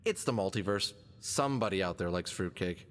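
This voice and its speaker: A man's voice: low-pitched, animated, brisk. Man acting a male fox hero, swooping intonation, wisecracking, self-assured, audio drama, echoing cave-like reverb. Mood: resolved, breezy. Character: male fox adventurer, confident, wisecracking, stubborn. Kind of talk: scripted audio drama dialogue. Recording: long hall-like reverb.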